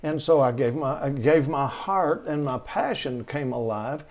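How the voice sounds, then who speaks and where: A man's voice: mid-range voice, unhurried, noisy background. A middle-aged man, telling rambling stories as an interview guest, with many restarts. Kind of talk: storytelling conversation.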